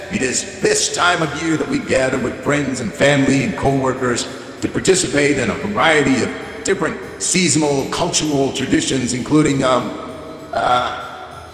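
A man's voice: medium pitch, unhurried, a bit bright, reverberant, in a large hall, soft background music, natural voice. An actor playing a greedy, foul-mouthed male corporate CEO giving a bombastic holiday speech in an audio drama. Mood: irritated. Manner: bombastic, profane, dismissive. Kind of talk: audio drama comedy monologue.

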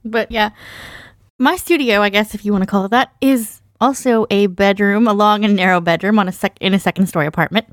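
A woman's voice: mid-range voice, fairly even intonation, fairly quick, no room sound. A woman chatting casually as a podcast panelist, joking and self-deprecating, recorded close in a small treated spot. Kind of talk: casual chat. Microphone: mic inside foam-lined box.

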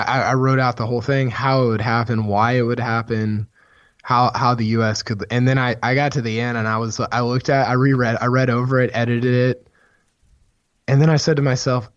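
A hoarse man who is losing his voice, co-hosting a sports podcast in casual, rambling chat full of restarts. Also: medium pitch, no room sound. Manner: rambling, many restarts, excited. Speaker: podcast co-host, golf writer. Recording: dull, dry podcast mic sound.

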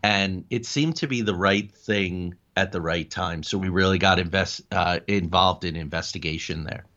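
A man's voice: deep voice, normal speed, pushed and bright. A man explaining things conversationally as an interview guest and paranormal investigator, recorded in lo-fi sound.

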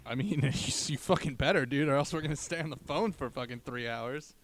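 A man's voice: mid-range voice, fairly quick. A young man hosting a comedy podcast, chatting casually with crude, slangy banter. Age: young adult. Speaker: podcast host.

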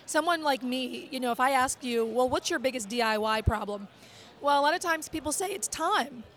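Woman guest on a podcast, really high voice gone hoarse, chatty and eager. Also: brisk. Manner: animated, agreeable, lots of "right". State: hoarse, strained voice. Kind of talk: casual conversation.

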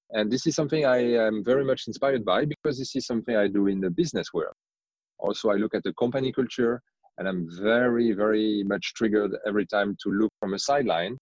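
A man's voice: medium pitch, darkish tone, no room sound, lo-fi sound. A man from France with a strong French accent, a company executive, thoughtful and careful in his English, speaking as a podcast guest. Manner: thoughtful, careful phrasing with non-native grammar. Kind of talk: podcast interview, remote call.

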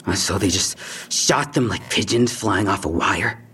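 A young man with a husky voice talks in slangy, defensive bursts. He postures as tough and dodges questions, with fear underneath, in a naturalistic audio drama. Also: lowish voice, a little slow, pushed and bright, full character voice. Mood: bitter, resentful. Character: defensive young robbery suspect, tough talk hiding fear.

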